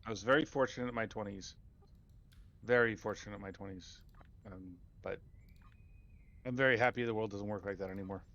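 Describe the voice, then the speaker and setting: A man's voice: lowish voice, a little fast, pauses often, fairly bright tone. A man in his forties chatting casually with fellow gamers over voice chat. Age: 40s. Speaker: gamer on a group gaming podcast. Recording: slightly dull voice-chat audio.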